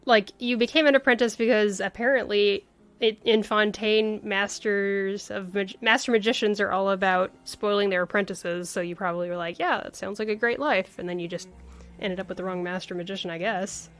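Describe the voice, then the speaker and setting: A woman's voice: medium pitch, steady tone, brisk, a bit bright. A woman livestreaming a video game, commenting casually and wryly on the story as she reacts aloud. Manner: wry, chatty, reacting aloud. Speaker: game streamer. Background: faint game music.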